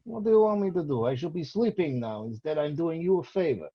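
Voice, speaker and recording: A man acts out a cocky boyfriend in his late twenties at a script table read. He needles and teases with a highly animated, swooping delivery. The voice is a little husky, on a dull lo-fi recording. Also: brisk. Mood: impatient, needling. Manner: flippant, needling. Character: scruffy, teasing, reckless 28-year-old boyfriend.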